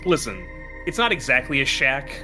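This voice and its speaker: A man's voice: mid-range voice, sing-song, normal speed, over music. A man running a tabletop game as game master in casual, joking, sweary banter.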